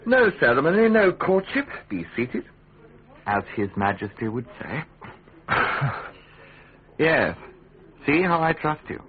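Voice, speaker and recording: An actor with an English accent playing a smug, worldly government schemer in a Tudor radio drama, teasing and insinuating with pointed pauses. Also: male voice, fairly high pitch, big pitch swings. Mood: sly, teasing. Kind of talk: British radio drama acting. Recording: tinny narrow-band radio recording.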